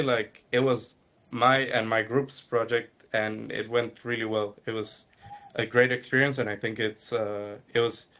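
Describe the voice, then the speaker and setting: Relaxed young man from Guatemala. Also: mid-range voice. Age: young adult. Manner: relaxed and earnest, with some fillers. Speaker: animation student. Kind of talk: casual account given in a webinar.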